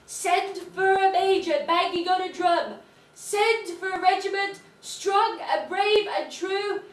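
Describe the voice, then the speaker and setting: A woman's voice: extremely high pitch, takes it slowly. A woman playing a hapless, cheeky, grumbling trainee fairy in a stage pantomime, naive and muddled. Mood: incanting. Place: amateur theatre stage in a hall. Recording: echoey hall, distant microphone, noise.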